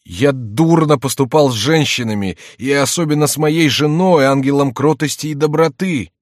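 A man's voice: medium pitch, moderate pace, dry recording, clean studio sound, pitched above the performer's own voice, lightly acted. A man voicing a sly, mocking French court jester in a theatrical, exclamatory audiobook read. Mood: mock-plaintive. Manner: theatrical, exclamatory, mock-plaintive.

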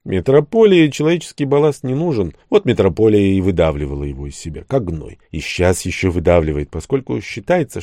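A man's voice: sits low, mellow, no echo, clean studio sound. A man reading first-person fiction as an audiobook narrator, in a colloquial, wry storytelling manner.

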